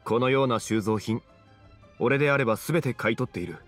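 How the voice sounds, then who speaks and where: Man as a composed, eloquent scholar gentleman. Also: mid-range voice, soft background music, natural voice. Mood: assured. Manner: measured, formal, eloquent. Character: calm, scholarly gentleman, ancient and refined. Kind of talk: Japanese anime game voice acting.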